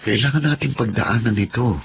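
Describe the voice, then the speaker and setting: A man's voice: medium pitch, a little fast, gravelly, a little hiss or hum, lightly acted. A man with a Filipino accent playing an earnest, firm young husband in a radio drama. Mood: earnest, reassuring.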